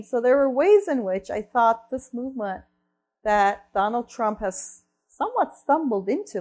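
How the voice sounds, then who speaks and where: A woman's voice: mid-range voice, mellow. A woman from Turkey, a researcher and columnist, giving a lecture-style talk, thinking aloud with frequent "right?" and restarts.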